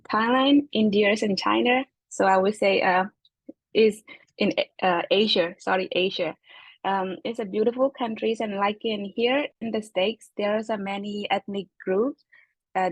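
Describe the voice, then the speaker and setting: A woman's voice: medium pitch, no echo, rough recording. A young woman from Myanmar, a Chin speaker with a Burmese accent, answering interview questions hesitantly with many fillers. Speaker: international graduate student.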